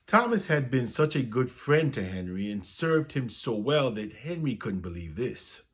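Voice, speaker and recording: A man reading a children's story aloud as a storyteller, with expressive, dramatic phrasing. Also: medium pitch, normal speed, breathy edge.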